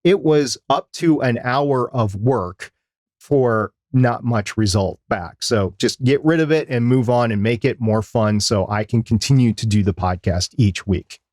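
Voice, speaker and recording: A man's voice: mid-range voice, a little slow, darkish tone, no echo, clean studio sound. A man co-hosting a podcast, giving semi-scripted advice with casual asides. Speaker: podcast co-host.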